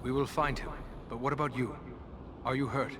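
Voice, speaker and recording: An actor playing an earnest, courteous ranger on a quest in a fantasy game, speaking formally. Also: male voice, mid-range voice, fairly even intonation, normal speed, crowd noise, lightly acted. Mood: concerned. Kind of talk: fantasy game cutscene acting. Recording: very noisy ambience behind.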